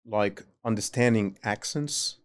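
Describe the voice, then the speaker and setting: A man's voice: on the low side, slow, no room sound. A man hosting a podcast, chatting casually, asking probing questions and often answering with short affirmations. He speaks with an accent. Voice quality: speaks with a non-native accent. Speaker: podcast host. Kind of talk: casual interview chat.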